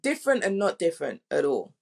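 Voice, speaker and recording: A woman's voice: fairly low pitch, very animated, fast talker, vocal fry, darkish tone. A woman speaking as a drama director, telling candid anecdotes in an informal, emphatic way and acting out quotes.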